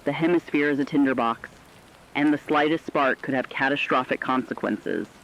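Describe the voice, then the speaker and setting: A man's voice: medium pitch, moderate pace, vocal fry, a bit bright, a little hiss or hum, poor audio. A man playing a serious radio war analyst, giving scripted emergency news commentary in a formal, emphatic way. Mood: serious, urgent.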